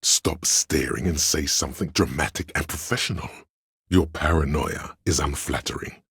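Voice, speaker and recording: Game casting: a grandiose, scheming courtly inner voice; extremely low, husky, declamatory, archaic. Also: male voice, moderate pace, fairly bright tone, no room sound, studio quality, full character voice. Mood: admonishing. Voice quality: gravelly, husky. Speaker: voice actor. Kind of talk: theatrical video game voice lines.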